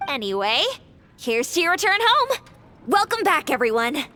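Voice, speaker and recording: A woman playing a wistful young woman in a video game, soft-hearted and hesitant, attached to old friends. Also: high-pitched, very animated, normal speed, slight background noise, heavily acted. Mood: warm, nostalgic.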